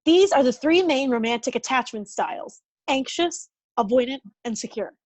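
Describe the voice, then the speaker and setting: An earnest, nerdy woman scientist played by an actress at a table read. Her voice sits high as she explains her theories, a bit defensive, in a comedy script. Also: animated, moderate pace, a little mellow. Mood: earnest, explaining.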